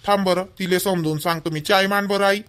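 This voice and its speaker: A man's voice: high voice, steady tone, quick pace, a bit bright, slight background noise. A man voicing an opinionated, protective young married son in a village cartoon, speaking emphatically in colloquial Vidarbha Marathi. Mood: concerned. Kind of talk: homemade cartoon family dialogue.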